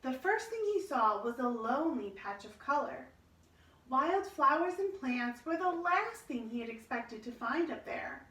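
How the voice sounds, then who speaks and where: High-voiced woman reading a children's story. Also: expressive, unhurried, a bit bright. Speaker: storybook reader. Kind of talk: children's story read-aloud. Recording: roomy echo, distant microphone.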